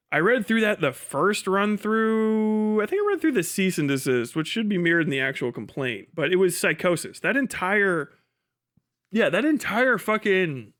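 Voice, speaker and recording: A man with a somewhat high-pitched voice talks casually as a livestream host. He is blunt and foul-mouthed, rambling through commentary and often muttering to himself as he goes. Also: a bit bright. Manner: profane, blunt; rambling, muttering asides to self.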